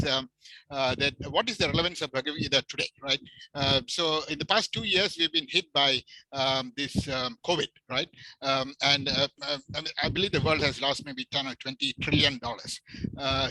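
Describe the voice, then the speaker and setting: Bright-voiced polite man asking. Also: a little high, dry recording, rough recording. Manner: polite, hesitant, many fillers. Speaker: audience member asking a question. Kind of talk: Q&A question at an online talk.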